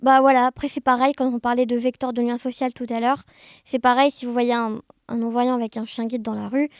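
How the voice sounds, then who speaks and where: A woman chatting casually as an interview guest, telling anecdotes in an animated, conversational way. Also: on the high side, fairly quick, dry recording, faint music behind. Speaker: podcast guest, blind guide dog user sharing experiences.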